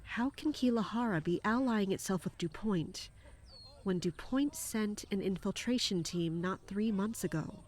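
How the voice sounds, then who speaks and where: An actress playing a stoic, fatalistic military captain in an audio drama. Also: female voice, mid-range voice, measured pace, darkish tone, close to an everyday voice. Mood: questioning, tense.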